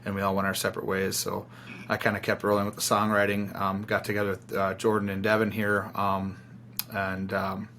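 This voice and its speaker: Low, even-toned man with bright edge. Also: a little fast, slight background noise. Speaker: band singer-songwriter being interviewed. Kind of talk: casual interview chat.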